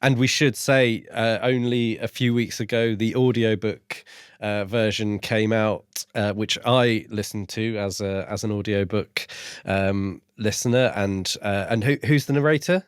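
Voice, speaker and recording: A man's voice: fairly low pitch, steady tone, a little slow, clear, resonant tone, no room sound, studio quality. A man hosting a podcast, chatting casually as he runs an interview. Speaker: podcast host.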